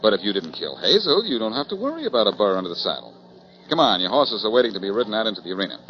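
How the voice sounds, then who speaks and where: An actor playing a cool, shrewd, wry detective in a 1940s radio drama. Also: male voice, on the low side, big pitch swings, racing. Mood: sly. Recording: muffled 1940s radio recording.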